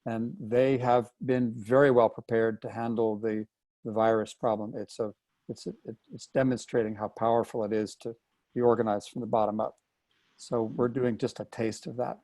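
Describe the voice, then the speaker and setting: A man explaining a method on an online call in a measured, hesitant way, with many ums and uhs. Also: medium pitch, little pitch movement, warm tone, no room sound. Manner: measured, hesitant, frequent fillers. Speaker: expert introducing the topic. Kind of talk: casual explanatory talk. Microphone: video call.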